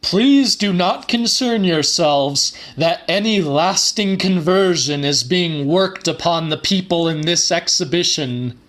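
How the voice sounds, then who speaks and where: A mad scientist turned prophet , as played by a male reader, preaches grandly from the stage in a raised voice. The delivery is slow, theatrical and declamatory, in the manner of a dramatic audiobook. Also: a little high, a bit bright, voice pushed up. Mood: grandly solemn. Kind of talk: dramatic audiobook character reading.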